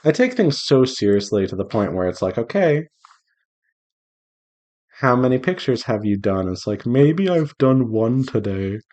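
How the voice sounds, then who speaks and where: A man's voice: medium pitch, big pitch swings, a little slow, a little mellow. A man chatting casually and playfully to himself and his viewers while he draws, rambling along as an indie game developer on a devlog. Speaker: visual novel developer streaming while drawing.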